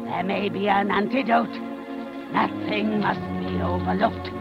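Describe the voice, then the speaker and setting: A woman playing a wicked, scheming old witch queen in 1930s radio drama, gloating and cackling with drawn-out words. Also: medium pitch, fairly even intonation, creaky, dull audio. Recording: 1930s radio broadcast over music.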